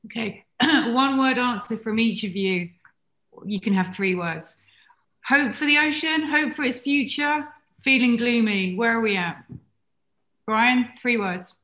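A woman's voice: a little high, a little slow, fairly bright tone, roomy sound. A middle-aged woman moderating a panel on a video call, asking long, layered questions.

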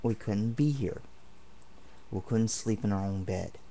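A man's voice: fairly low pitch, measured pace, warm tone, a little hiss or hum. A man ranting indignantly and at length in a home video, repeating himself as he rambles. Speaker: vlogger. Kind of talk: angry home video rant. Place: home apartment.

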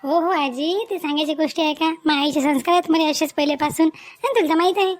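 Cartoon village wife, female performer, very high pitch, dutiful and talkative, Varhadi Marathi accent. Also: quick pace, natural voice. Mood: cheerful, chatty. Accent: Maharashtrian, Varhadi Marathi. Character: cheerful dutiful young married village woman on the phone. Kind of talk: cartoon family drama dialogue.

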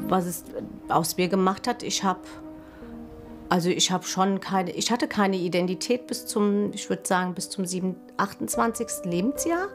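A woman in her thirties talking candidly in an interview, often correcting herself. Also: medium pitch. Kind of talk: personal interview talk. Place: indoor room. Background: music.

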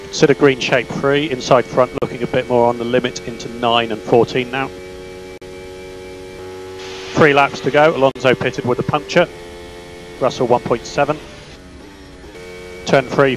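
A man working as a race engineer, giving calm, measured, clipped instructions over team radio. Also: medium pitch, faint music behind. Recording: noisy radio link with car hum. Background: car engine hum.

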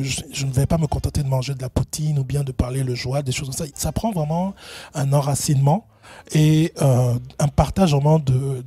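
A man's voice: medium pitch, mellow, breathy edge, dry recording. A middle-aged man answering interview questions at length, hesitant, with many fillers and restarts. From Benin, raised in Gabon. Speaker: guest, entrepreneurship consultant.

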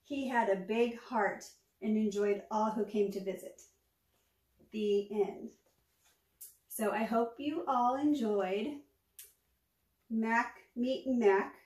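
A woman reads a picture book to young children in a high voice. She takes her time and tells it expressively. The sound is roomy, picked up at some distance from the microphone. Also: slow pace, long pauses, darkish tone. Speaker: storytime reader, former teacher. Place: small furnished room at home, indoors. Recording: echoey room, distant microphone.

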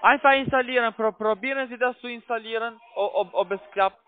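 A man with a foreign accent, not a native speaker, giving halting step-by-step instructions as a call center agent. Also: very high-pitched. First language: not German. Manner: halting, broken grammar. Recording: tinny narrow phone line.

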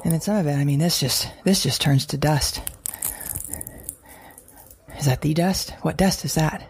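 A woman's voice: low-pitched, measured pace, darkish tone, a little hiss or hum. An actress playing an anxious but defiant mother surviving a wild world in an audio drama monologue, fretful and questioning herself in broken phrases. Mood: agitated, anxious. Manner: fretful, self-questioning, fragmented, repeating herself. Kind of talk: audio drama monologue, recorded-journal narration.

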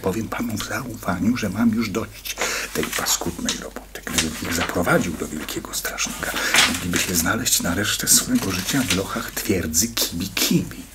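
An actor playing a weary official bound by rules, confiding in hushed tones in a radio play. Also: male voice, low-pitched, normal speed, few pauses, heavy background noise, natural voice. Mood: confiding, resigned. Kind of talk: radio drama acting.

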